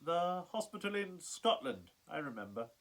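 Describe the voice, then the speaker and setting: A man's voice: sits high, big pitch swings, moderate pace, a bit bright, full character voice. A man playing a bitter, wrathful young scientist in a radio play, with intense, melodramatic delivery. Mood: subdued. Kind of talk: theatrical radio play acting. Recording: roomy echo.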